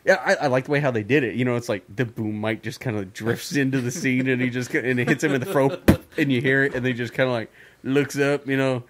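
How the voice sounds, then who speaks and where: A man's voice: medium pitch, steady tone, quick pace, heavy background noise. A man co-hosting a podcast in casual chat, rambling and swearing, often laughing. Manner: profane, rambling, laughs a lot. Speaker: podcast co-host.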